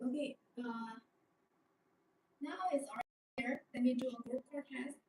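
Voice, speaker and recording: A woman's voice: sits high, a little slow. A young woman, a non-native English speaker, giving a live product sales demonstration in simple, choppy phrasing. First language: not English. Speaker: live-stream sales presenter. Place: small showroom studio set, indoors. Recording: roomy, distant mic with background noise.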